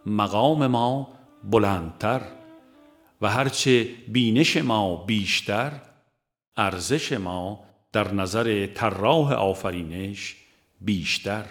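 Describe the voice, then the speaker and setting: A man narrating a spiritual audiobook in a measured, solemn way, phrase by phrase. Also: on the low side. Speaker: audiobook reader. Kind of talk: scripted devotional narration.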